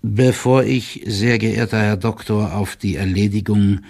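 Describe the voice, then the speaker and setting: Casting: an older deferential official, creaky low voice, officious and measured, reading a formal report for an audio story. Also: male voice, steady tone, darkish tone. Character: older deferential male court clerk reporting confidentially, formal, loyal. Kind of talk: dramatised first-person reading of a formal written report.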